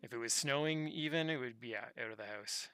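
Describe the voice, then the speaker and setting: Man in his thirties, game streamer, easygoing rambling chat, mid-pitched voice, clear game music behind. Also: quick pace, fairly bright tone. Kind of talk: casual livestream chat while gaming. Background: video game music.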